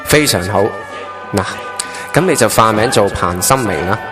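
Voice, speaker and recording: Clear music plays under a low-pitched, solemn chief angel, acted by a man in a radio drama. He speaks formally and with authority in a Hong Kong accent, laying down instructions. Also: lively intonation, normal speed, lightly acted. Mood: brisk, businesslike. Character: solemn male chief angel briefing a newly made trainee angel. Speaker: voice actor in an online radio drama. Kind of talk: Cantonese radio drama acting.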